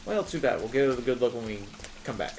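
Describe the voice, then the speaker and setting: A man playing the game on a casual stream, excitable and cursing as he goes. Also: mid-range voice, quick pace, slight background noise. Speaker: player. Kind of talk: casual gaming chat.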